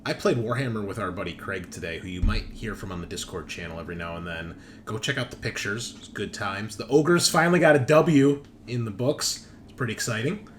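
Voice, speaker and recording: A man's voice: mid-range voice, expressive, moderate pace, a little hiss or hum. A man hosting a tabletop roleplaying show as game master, talking casually with profane, bantering humor. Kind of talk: casual chat and session recap.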